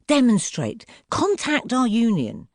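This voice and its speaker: A woman's voice: fairly high pitch, wildly swooping pitch, takes it slowly, no room sound, studio quality, voice pushed up, exaggerated voice. A woman narrator voicing an outraged young female window dresser in an audiobook, urgent and hissing with indignation. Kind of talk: audiobook character dialogue.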